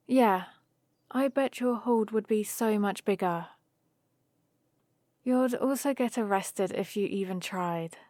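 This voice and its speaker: A woman's voice: medium pitch, slow pace, long pauses, a little mellow, dry recording, clean studio sound, natural voice. A woman playing a friendly, teasing human heroine in an ASMR roleplay, explaining things casually and patiently to the listener. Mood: amused, teasing. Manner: casual, playful, explanatory rambling. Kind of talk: ASMR roleplay, one-sided conversation with the listener.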